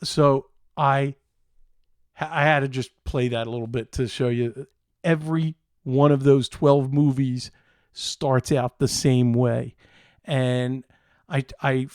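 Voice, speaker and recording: Middle-aged man, unhurried pace, dry close sound, casual show host, rambling, halting, enthusiastic. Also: mid-range voice, darkish tone, no room sound. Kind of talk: casual solo chat to viewers.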